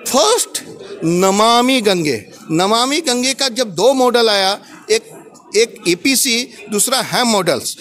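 A man's voice: high-pitched, a bit bright. A middle-aged man with an Indian English accent, speaking into a handheld microphone at a booth in a big exhibition hall, explaining his company point by point in long lists. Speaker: company regional head presenting at a trade booth. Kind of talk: sales presentation to a visitor.

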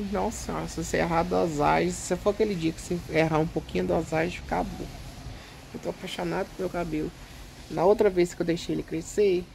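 A middle-aged Brazilian man with a high, creaky voice, chatting casually and expressively in a home vlog. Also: a little mellow, a little hiss or hum, low-quality recording. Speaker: home vlogger.

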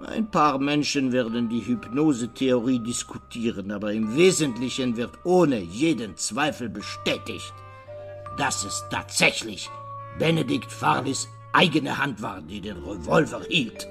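A shrewd, theatrical, slow-speaking detective. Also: male voice, medium pitch, animated, faint music behind. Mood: suspenseful, explaining. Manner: theatrical, deliberate, rhetorical questions. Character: shrewd, self-assured older male detective explaining a crime. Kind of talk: German radio play or audiobook acting.

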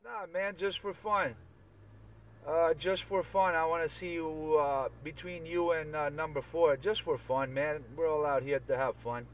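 A man's voice: on the high side, steady tone, a little slow. A man chatting casually on CB radio, giving signal reports and often repeating himself. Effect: CB radio transmission. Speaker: CB radio operator. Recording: distant, very noisy CB radio chat.

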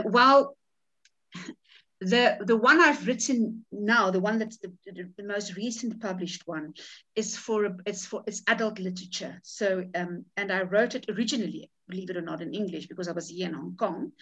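A woman's voice: mid-range voice, moderate pace, a bit bright, rough recording. A woman with a South African accent talks through a writing workshop over a webcam call. Her first language is Afrikaans, and she speaks in a chatty, looping way, restarting and repeating words as she thinks. Her voice sits in a middle range. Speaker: author leading a writing workshop. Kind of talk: casual workshop talk on a video call. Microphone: video call webcam.

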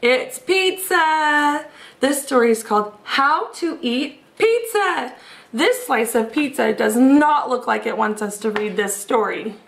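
A woman in her thirties reading a picture book expressively to children, asking them questions in an animated manner. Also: high-pitched, takes it slowly, creaky. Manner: animated, addresses young listeners with questions. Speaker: children's read-aloud storyteller. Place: small room at home. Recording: roomy echo.

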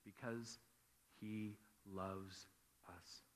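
A male pastor preaching a sermon with earnest emphasis, rising on key words and dropping soft on others. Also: lowish voice, fairly even intonation, very slow. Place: church sanctuary. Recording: long hall reverb.

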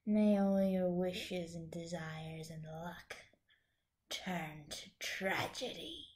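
A woman voicing a vengeful, mocking teenage supervillainess in a fan audio drama, breathy and theatrical with drawn-out phrases. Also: lowish voice, darkish tone, slight background noise. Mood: gleeful menace.

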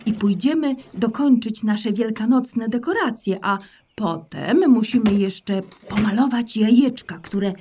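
A woman voicing a gentle, caring mother hare in a children's audio drama, soothing and tender. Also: a little high, animated, unhurried, few pauses, slight background noise.

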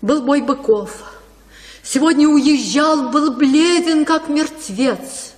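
High-voiced, fretful country mother. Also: slow pace. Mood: anxious. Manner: fretful, declamatory. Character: anxious, doting country mother. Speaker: radio drama actress. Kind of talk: theatrical verse radio play. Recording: long hall reverb.

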